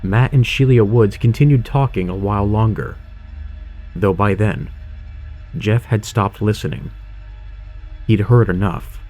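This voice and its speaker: A man's voice: lowish voice, measured pace, pauses often, a little mellow, soft background music. A man narrating a scripted horror audio drama in a dramatic storytelling style.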